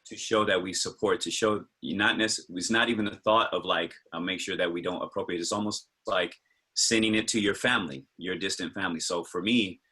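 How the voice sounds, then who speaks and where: Low, husky American man on a video call. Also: steady tone, brisk, pushed and bright. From United States. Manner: thoughtful, hesitant with fillers and restarts. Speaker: capoeira teacher, panelist. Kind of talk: casual panel discussion, personal opinion.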